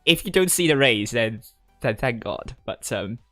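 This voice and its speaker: A young man from Hong Kong talks casually on a livestream in a medium-pitched voice. He is hesitant and rambling, stumbling back over his words as he goes. The sound is dry, with soft music underneath. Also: animated, moderate pace, dry recording. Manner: hesitant, rambling, with stuttered word repeats. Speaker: gaming streamer and tournament commentator. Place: indoors at a desk in a gaming chair.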